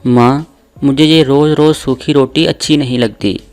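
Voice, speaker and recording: A man's voice: medium pitch, steady tone, measured pace, a little mellow, faint music behind, lightly acted. A man voicing a poor young boy in a moral story, plaintive in manner.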